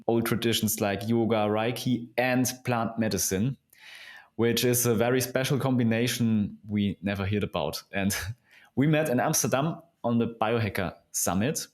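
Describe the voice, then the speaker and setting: A man's voice: medium pitch, unhurried. A man whose first language is German hosting a podcast in English with simple, repetitive phrasing. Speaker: podcast host. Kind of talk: podcast intro and interview questions.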